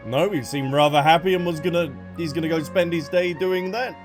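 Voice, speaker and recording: A male gaming streamer with a fairly high voice chats casually as he plays. He muses aloud and talks back to characters, with light game music behind him. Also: fairly quick. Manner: musing aloud, answering the characters. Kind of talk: casual reaction commentary over a game.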